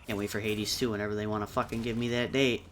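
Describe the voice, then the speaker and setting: A man's voice: on the low side, little pitch movement, fast, faint music behind. A man chatting casually on a livestream as a co-host, talking bluntly and swearing often. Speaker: co-host of a livestreamed round table. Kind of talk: casual chat about video games.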